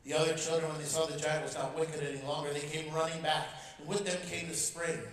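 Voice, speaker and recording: A man's voice: a little high, level tone, fast, fairly bright tone, a little hiss or hum. A man reading a storybook aloud to a church congregation as guest speaker, telling it expressively and without hurry. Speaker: guest speaker at a parish mission. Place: large church. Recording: echoey church heard from far off.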